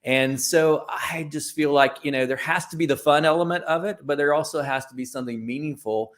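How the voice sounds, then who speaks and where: A man's voice: fairly high pitch, fairly quick. Informal, discursive man in an interview. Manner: informal, discursive, self-correcting. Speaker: guest, creative company founder. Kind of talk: conversational interview answers.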